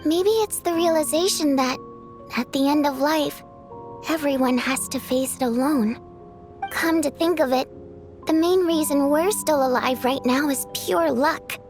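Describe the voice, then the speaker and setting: An actress playing a gentle, thoughtful young woman in a video game, speaking softly, wistfully and with care. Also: high voice, a little slow, soft background music. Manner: soft, wistful, caring.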